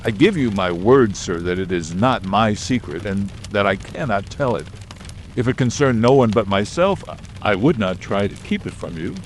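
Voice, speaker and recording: A man's voice: fairly low pitch, expressive, moderate pace, a little hiss or hum, close to an everyday voice. A man voicing a frightened, secretive middle-aged butler who speaks in a deferential, pleading way. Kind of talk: audio drama acting.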